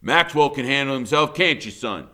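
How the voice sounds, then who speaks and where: A man's voice: medium pitch, expressive, normal speed, sharp tone, voice pushed up. A man voicing a stern but proud grandfather in an audiobook, with a folksy, solemn way of talking. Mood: proud, emphatic. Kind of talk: audiobook character voice.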